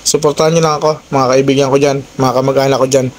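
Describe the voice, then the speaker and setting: A man's voice: mid-range voice, level tone, fast talker. A man chatting casually to his viewers as a motovlogger, often checking in with little tag questions. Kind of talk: casual vlog chat to viewers. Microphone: old cellphone, no intercom.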